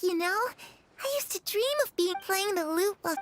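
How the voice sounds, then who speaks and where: A woman's voice: very high-pitched, fairly quick, background noise. An actress voicing a cheerful, curious young girl in a video game, eager and chatty in her way of talking. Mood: excited, curious. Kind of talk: animated video game voice acting. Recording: music under the voice.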